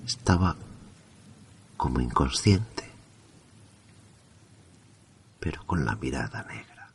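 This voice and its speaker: A man narrating a horror story in a slow, grave, hushed manner. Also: low voice, slight background noise.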